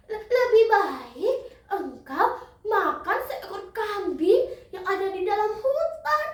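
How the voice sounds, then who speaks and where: A woman's voice: extremely high pitch, pushed and bright, reverberant, recorded from a distance, pitched above the performer's own voice, full character voice. A young female storyteller voicing a panicked, squawking duck that stammers and pleads between repeated quacks. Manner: stammering, repetitive quacking pleas.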